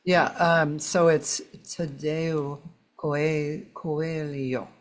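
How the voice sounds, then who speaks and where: A woman hosting a casual online chat, unhurried and chatty, laughing easily. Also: low voice, little pitch movement, a little hiss or hum. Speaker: host.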